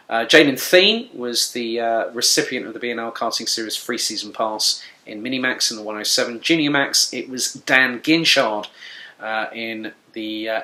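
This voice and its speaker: Bright-voiced upbeat male series presenter. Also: medium pitch. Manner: upbeat, frequent "uh" fillers, congratulatory. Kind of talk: news update presentation.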